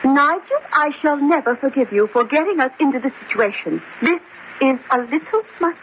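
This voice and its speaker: A woman's voice: high-pitched, animated, normal speed. An actress playing a coquettish, anxious and devoted lady companion in an arch, theatrical comic horror radio drama style. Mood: anxious, reproachful. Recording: muffled radio recording with faint noise.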